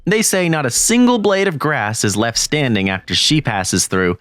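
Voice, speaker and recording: A man's voice: mid-range voice, expressive, moderate pace, fairly bright tone, no echo, soft background music. A man narrating a manga story in first person, getting flustered and jumpy. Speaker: narrator and lead voice in a manga dub.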